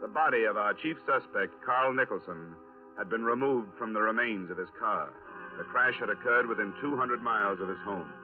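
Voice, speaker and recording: A man's voice: fairly low pitch, normal speed, lightly acted. An actor playing a terse, matter-of-fact insurance investigator who narrates his case in a 1950s radio crime drama. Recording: restored 1950s radio, narrow band, faint music.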